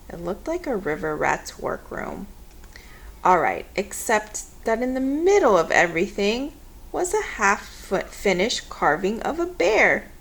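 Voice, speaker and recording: A woman reading a children's story aloud in an expressive, measured storytelling manner. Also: fairly high pitch. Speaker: storybook reader.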